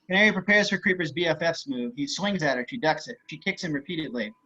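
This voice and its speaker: A man's voice: a little high, expressive, fast talker, pushed and bright. A man narrating stage directions for a screenplay table read over a video call. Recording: slightly dull video-call audio.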